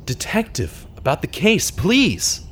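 A man playing an earnest radio host turned true-crime detective, narrating a scripted audio drama in a measured, dramatic way. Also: a little high, huge pitch swings, a bit bright, a little hiss or hum, exaggerated voice. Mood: earnest, pressing.

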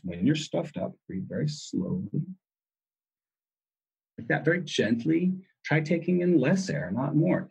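A man's voice: fairly low pitch, darkish tone, husky, rough recording. A man speaking as an interview guest, explaining in an emphatic way and often repeating his key words.

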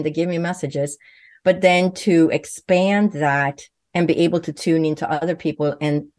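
A woman speaks in a low, deep register, earnest and fluent, though she often restarts her phrases. The sound is close and dry, with no room echo. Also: darkish tone. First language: Swedish. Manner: earnest, fluent with frequent self-corrections. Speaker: guest, medium and physician. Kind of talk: conversational interview answers.

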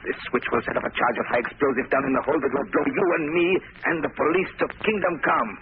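An actor playing a desperate, menacing gang leader in an old radio drama, on a muffled, noisy broadcast recording. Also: male voice, medium pitch, animated, fast talker, natural voice. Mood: threatening. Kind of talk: 1930s radio drama acting.

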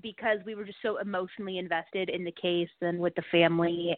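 A woman's voice: on the low side, fairly even intonation, fairly quick, dry recording. A woman podcaster being interviewed, explaining things in a casual, conversational way. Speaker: podcast host, interview guest. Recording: dry, narrow phone-line audio.

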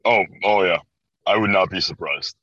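A man's voice: sits low, moderate pace, sharp tone. A man in his early twenties from Chicago with an American accent, chatting casually as an interview guest. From Chicago, USA. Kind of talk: casual chat. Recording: dry, slightly dull band-limited audio.

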